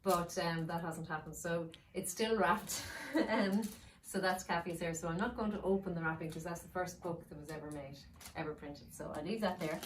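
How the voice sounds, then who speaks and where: A woman's voice: on the low side, moderate pace. A woman speaking as a children's author, storytelling and reading aloud for young listeners. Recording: roomy, distant mic, faint noise.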